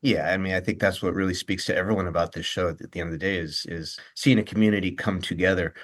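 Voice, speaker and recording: A man's voice: sits low, fairly even intonation, fast, a bit bright. A man who acts on stage, chatting in an interview in an earnest, reflective way. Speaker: stage actor, guest. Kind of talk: interview chat about a stage role.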